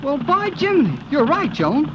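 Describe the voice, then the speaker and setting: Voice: high voice, huge pitch swings, normal speed. A performer playing a brash, curious boy adventurer in a 1930s radio serial, with slangy, folksy, eager speech. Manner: folksy, informal, eager exclamations. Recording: muffled, noisy vintage 1930s radio recording.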